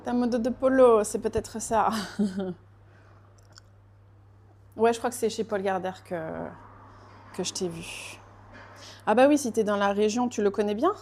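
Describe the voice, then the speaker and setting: A woman's voice: medium pitch, a little slow, long pauses, darkish tone. A woman raised in France, chatting casually with live viewers while she bakes, greeting them by name and laughing often.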